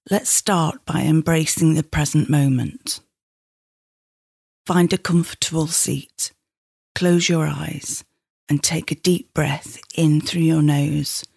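A middle-aged woman leading a guided meditation in a soothing, measured, instructing manner. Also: sits low, vocal fry, darkish tone, breathy edge, no room sound, clean studio sound.

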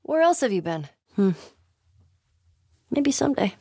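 A woman's voice: high voice, very animated, unhurried, darkish tone, breathy edge, dry recording, studio quality, full character voice. An actress playing a tough, foul-mouthed, wisecracking young woman survivor in a video game, blunt and naturalistic. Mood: casual, reflective. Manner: blunt, sweary, wry.

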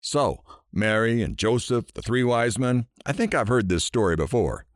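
An actor playing an irreverent radio talk show host, glib, folksy and teasing, in an audio drama. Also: male voice, mid-range voice, sing-song, normal speed, dry recording, studio quality, lightly acted. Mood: wisecracking.

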